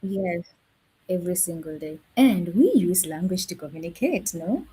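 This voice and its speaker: A woman's voice: on the low side, animated, normal speed, warm tone, slightly rough. A woman from Kenya, a language educator, speaking as a guest in a live online conversation and searching for words with many fillers. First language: not Swahili. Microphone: video call.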